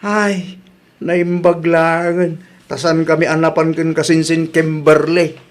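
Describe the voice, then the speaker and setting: A man's voice: on the high side, flat delivery, slow pace. A man playing a sympathetic grown son in a family radio drama, conversational, with an Ilocano accent. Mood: concerned.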